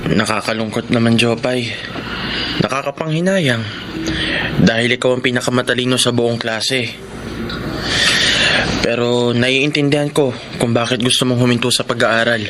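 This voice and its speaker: A man playing a gentle, encouraging village schoolteacher in a Filipino radio drama. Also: medium pitch, steady tone, moderate pace, long pauses, lightly acted. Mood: regretful, kind. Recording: noisy radio drama audio.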